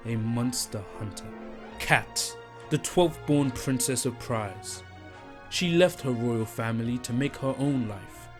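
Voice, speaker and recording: A man narrating a fantasy audio drama in a measured storytelling manner. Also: medium pitch. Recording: narration over faint music.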